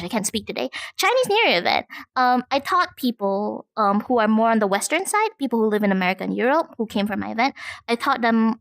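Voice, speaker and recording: A woman from Malaysia talks in a casual interview style. Her voice sits fairly high, and the sound is dry, with very little room in it. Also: vocal fry, no room sound. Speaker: guest, content creator and VTuber.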